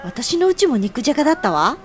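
Woman acting Maria, a high-voiced cheerful young woman. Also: big pitch swings, quick pace, faint music behind. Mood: warm, admiring. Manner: bright exclamations, playful, polite to elders. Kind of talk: radio sound-drama dialogue.

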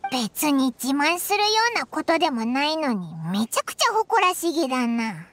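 Tiny cheeky fairy sidekick, extremely high, swooping, rough-spoken and childlike, music behind. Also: female voice, big pitch swings, normal speed, fairly bright tone, a little hiss or hum. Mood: cocky. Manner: cheeky, boyish slangy speech. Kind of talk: Japanese anime-style game acting.

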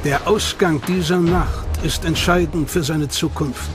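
A man narrating a documentary in a measured, dramatic way. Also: on the high side, steady tone. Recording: narration over loud music.

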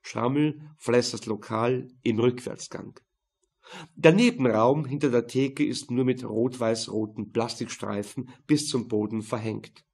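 A man's voice: mid-range voice, steady tone, measured pace, vocal fry, a little mellow, breathy edge. A man narrating a crime novel as a scripted audiobook.

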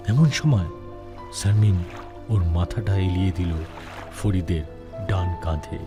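Slow, low male horror storyteller. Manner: measured, suspenseful. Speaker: host and storyteller. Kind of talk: dramatic scripted horror story narration. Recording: music behind the voice.

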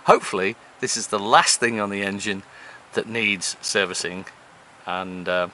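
A man's voice: on the low side, steady tone, moderate pace, pushed and bright, a little hiss or hum. A man in his fifties explaining things casually to camera as a sailing vlog host. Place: outdoors at a marina and aboard a sailboat.

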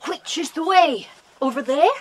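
Curious country boy, children's drama: friendly, high, very varied pitch. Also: animated, moderate pace. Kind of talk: children's audio drama acting.

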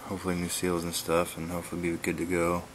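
A man giving a casual, laid-back vlog update in a halting, plain-spoken way, recorded on a handheld camera in a garage. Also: deep voice, monotone, slight background noise, low-quality recording. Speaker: wood-splitting video blogger. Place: garage, open to outdoors. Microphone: handheld camera's built-in mic.

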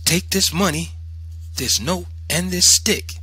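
A man's voice: high voice, slow, pushed and bright, slightly rough, no echo, pitched above the performer's own voice, full character voice. A man voicing a stern, unyielding mother in an audiobook, giving firm commands. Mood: commanding. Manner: commanding, deadly firm, Southern colloquial phrasing. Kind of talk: dramatized audiobook narration.

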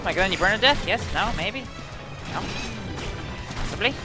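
A man's voice: high-pitched, expressive, normal speed. A young man with a slight cold, chatting in a rambling way as he comments on his gameplay. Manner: rambling, chatty, muttering reactions to the game. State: stuffy from a cold. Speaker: let's play host. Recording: voice over loud game music.

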